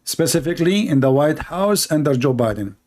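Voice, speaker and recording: A man with a somewhat high voice rants sarcastically in broken English, full of stumbles and fillers. He mocks as he hosts an online debate. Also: sing-song, a little fast, darkish tone. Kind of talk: mocking satirical rant.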